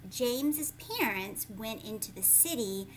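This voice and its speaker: A woman's voice: a little high, animated, measured pace, slight background noise, rough recording. A woman teacher reading a children's story aloud, speaking expressively and emphatically, with explanatory asides for young listeners.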